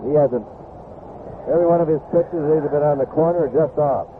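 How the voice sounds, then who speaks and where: A man's voice: a little high, fast talker. An American man calling a baseball game live as the play-by-play announcer. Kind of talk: live sports commentary. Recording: tinny, noisy vintage radio broadcast.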